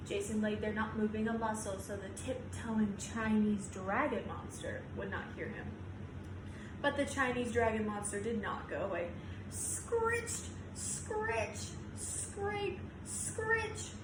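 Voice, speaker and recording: A woman teacher reading a children's picture book aloud with expressive storytelling. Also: medium pitch. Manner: expressive storytelling, drawn-out sound words. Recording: roomy echo, clear background noise.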